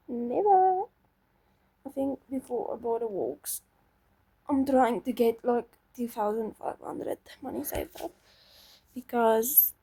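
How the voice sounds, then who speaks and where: A woman's voice: a little high, gravelly, mellow, breathy edge, no room sound. A young woman chatting casually to herself while playing a game, self-doubting and talkative. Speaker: gaming channel host. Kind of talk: casual gameplay commentary.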